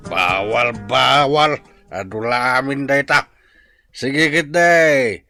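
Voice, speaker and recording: A man's voice: medium pitch, slow pace, bright, forceful tone, soft background music. A man with an Ilocano accent acting a jovial village drinking buddy in a comedy radio drama. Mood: tipsy, jovial.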